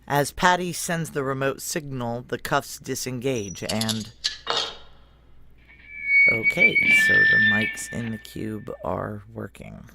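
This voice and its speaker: An actor playing a tense, deferential security chief in an audio drama, narrating in a clipped, wry American voice. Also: male voice, medium pitch, huge pitch swings, slow pace, creaky, very noisy, heavily acted. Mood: tense narration. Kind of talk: audio drama acting with first-person present-tense narration.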